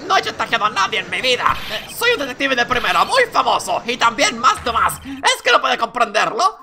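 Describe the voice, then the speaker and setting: A man's voice: very high voice, huge pitch swings, fairly quick, bright, forceful tone, slight background noise, voice pushed up, exaggerated voice. A man voicing a pompous, hysterical male detective and blackmailer in a video game, theatrical and haughty. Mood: indignant.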